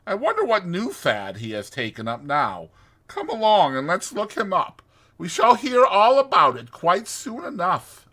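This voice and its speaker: A good-natured storybook water rat, as a man puts him on, hearty and chummy. The voice sits high above a normal talking pitch and is bright, with big swoops. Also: wildly swooping pitch, a little slow, full character voice. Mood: chatty, knowing. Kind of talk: dramatized storybook reading.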